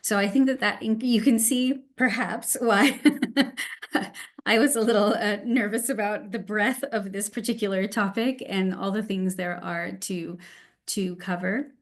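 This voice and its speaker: A middle-aged woman with a Canadian English accent gives an online presentation as a guest speaker, halting and nervous, with frequent ums and restarts. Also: mid-range voice, fairly even intonation. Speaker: guest speaker, Montessori teacher educator. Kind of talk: online presentation with shared slides. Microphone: video call.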